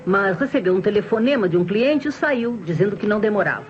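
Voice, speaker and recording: Actress as emphatic Brazilian lady witness, animated voice. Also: mid-range voice, quick pace, soft background music, natural voice. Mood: explanatory. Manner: emphatic, insistent, explanatory. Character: talkative, earnest lady witness eager to clear a suspect. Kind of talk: old Brazilian radio crime drama acting. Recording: dull, noisy old radio drama recording.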